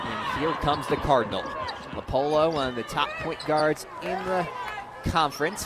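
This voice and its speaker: Young man, sports play-by-play, energetic delivery, fairly high pitch, heavy crowd and gym noise. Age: young adult. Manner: energetic, shouts on big plays. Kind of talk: live basketball radio play-by-play.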